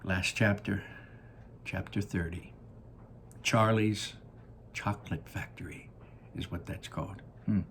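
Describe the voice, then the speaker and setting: A man reading a children's story aloud expressively, deliberately and with dramatic pauses, dropping into casual asides. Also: sits low, steady tone, a bit bright, slight background noise. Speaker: read-aloud host.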